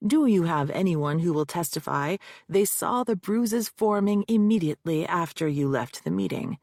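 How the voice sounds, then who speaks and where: A cold, needling male defense lawyer, read by a woman who grinds out every question in a low, gravelly voice. The sound is close and bone-dry, as in a studio audiobook reading. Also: animated, moderate pace, a little mellow, no echo. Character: aggressive male defense lawyer cross-examining.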